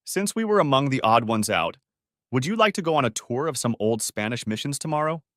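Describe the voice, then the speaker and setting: A man reads the part of a friendly, assertive woman in her forties from an audio story. The delivery is chatty and forward, with animated pitch. The sound is dry. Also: a little fast, dry recording, natural voice. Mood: inviting. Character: friendly, forward divorced woman of forty-five.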